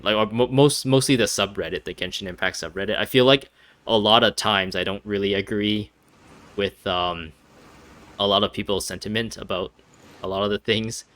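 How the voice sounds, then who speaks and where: A man's voice: lowish voice, pushed and bright, dry recording. A young man doing casual game commentary, rambling and hesitant as he thinks aloud. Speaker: gaming video host.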